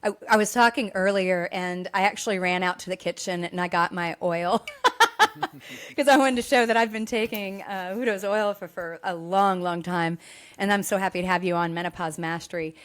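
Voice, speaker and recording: A woman hosts a podcast with a somewhat low voice. She opens the conversation eagerly, tripping over her first words as she welcomes her guest. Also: moderate pace. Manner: eager, stumbles over openings. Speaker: podcast host. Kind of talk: enthusiastic interview opener.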